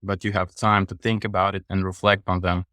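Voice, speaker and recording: A man from Latvia with a Latvian accent chatting casually as a guest, halting and repeating short phrases. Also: deep voice, flat delivery, bright, forceful tone, no room sound. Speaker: podcast guest. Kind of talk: casual chat.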